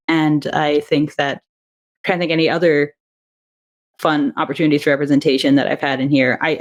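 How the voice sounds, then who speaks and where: Woman, audio drama creator, interview guest; thoughtful, meandering delivery. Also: sits low. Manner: thoughtful, rambling, with fillers and small laughs. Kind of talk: casual interview chat.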